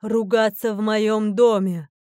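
A woman's voice: medium pitch, flat delivery, a little slow, smooth, no echo, studio quality, lightly acted. A woman voicing an imperious, easily irritated mother goddess in a fantasy audiobook, speaking in a grand, stern, declamatory way. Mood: stern, menacing. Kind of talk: fantasy audiobook character acting.

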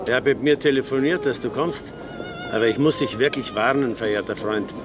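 Guy playing a cautious well-connected buddy, smoothing things over, old radio play with music. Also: mid-range voice, a little fast, lightly acted. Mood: placating. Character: Helmberger, well-connected man, friendly, cautious.

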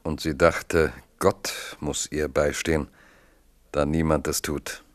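A man narrating a 1970s radio drama in a grave, measured storytelling voice. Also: very deep voice, level tone, no echo.

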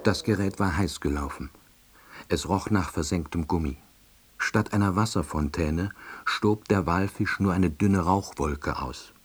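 An actor playing a wry, hapless middle-aged salesman, narrating his own story in an audio drama with a dry, deadpan delivery and husky voice. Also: deep voice, measured pace. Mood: wry, resigned. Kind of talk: scripted first-person narration.

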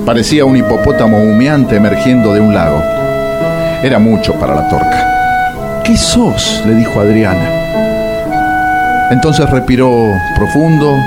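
An actor playing a wry, mock-solemn Argentine storyteller, narrating a scripted radio comedy. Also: male voice, medium pitch, natural voice. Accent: Argentine, Rioplatense.

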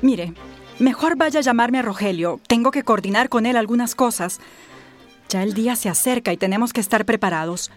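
A woman's voice: fairly high pitch, fairly quick, faint music behind, close to an everyday voice. An actress playing an exasperated, scheming professional woman in charge, curt and commanding, in a radio drama. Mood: bossy, plotting.

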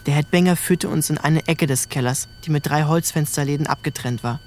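An actor narrating an audio drama in the first person as a reflective youngest brother. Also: male voice, on the high side, fairly quick, a little hiss or hum, lightly acted. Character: reflective youngest brother recalling a rough childhood.

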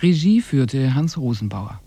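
A man presenting a radio programme from a script in a formal, measured, informative way. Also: medium pitch, big pitch swings, warm tone, husky, no echo. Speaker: radio presenter introducing the broadcast.

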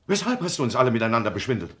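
A man's voice: medium pitch, very animated, very fast, a bit bright. A bitter, volatile village man. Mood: bitter, accusing. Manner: accusing, explosive, then subdued. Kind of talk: German radio play, emotional stage acting.

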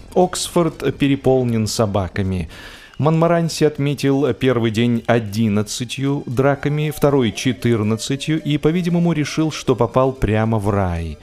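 Guy reading a funny audiobook, medium pitch, expressive with careful pauses, some music behind him. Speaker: audiobook narrator. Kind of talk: scripted humorous literary narration.

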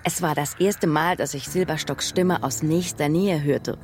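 An actress playing a wry, observant woman who recounts childhood memories in a husky voice, as radio drama narration. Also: low voice, darkish tone, a little hiss or hum, natural voice. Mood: measured, observant. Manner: measured, dry, wry storytelling. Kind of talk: scripted first-person radio drama narration.

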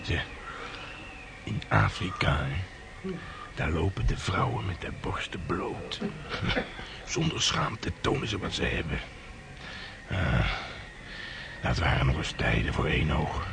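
An actor playing a gruff, lusty and gloomy old sea hand in a historical radio play, with earthy sailor talk. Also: male voice, extremely low pitch, huge pitch swings, takes it slowly, long pauses, full character voice. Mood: bawdy, boastful. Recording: noisy radio play recording.

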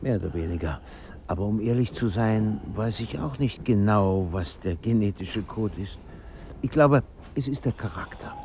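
An actor playing a polite, pensive but insistent writer in a radio drama. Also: male voice, on the low side, expressive, normal speed, darkish tone, a little hiss or hum. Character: polite, pensive, insistent male writer revisiting his past.

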